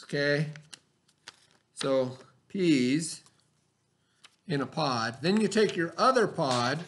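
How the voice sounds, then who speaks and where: A man in his forties teaches a craft lesson to young children over a webcam in his classroom. His voice sits a little high, and he walks through each step slowly and patiently, checking in with them as he goes. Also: slight background noise. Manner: slow, step-by-step instructions to children. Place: classroom, indoors. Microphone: webcam at desk.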